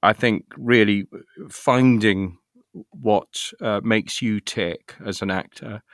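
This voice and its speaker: Middle-aged British male interviewee, lowish voice, thoughtful and halting delivery, recorded dry. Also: dry recording. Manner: hesitant, thoughtful, with repeated false starts. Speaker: interview guest, actor and writer. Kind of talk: reflective conversational interview.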